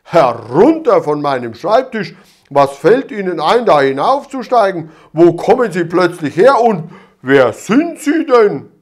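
A man's voice: fairly high pitch, very animated, slow, heavily acted. A man playing a blustering, pompous village policeman. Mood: furious. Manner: blustering, officious, shouting. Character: pompous, hot-tempered male village policeman.

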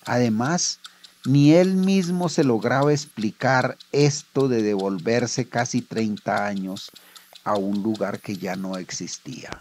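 A man reading scripted literary narration in a measured storytelling manner. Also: medium pitch, a little mellow, slight background noise.

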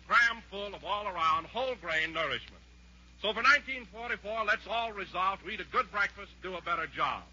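Male American announcer, folksy and cheery 1940s sales pitch, piercing and slightly rough, lo-fi broadcast sound. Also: sharp tone. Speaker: radio announcer. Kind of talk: 1940s radio commercial pitch. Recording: dull, muffled 1940s radio recording.

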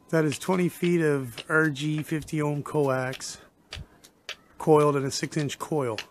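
A man's voice: mid-range voice, slight background noise. Casual man explaining gear. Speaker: amateur radio hobbyist presenting a how-to video. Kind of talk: casual hands-on demonstration. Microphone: handheld camera mic, operator behind camera.

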